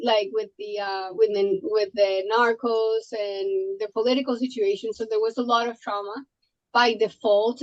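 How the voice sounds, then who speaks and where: A middle-aged woman from Colombia, a surgeon guest, telling her story in a casual interview with many restarts and fillers. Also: medium pitch. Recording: dull lo-fi audio.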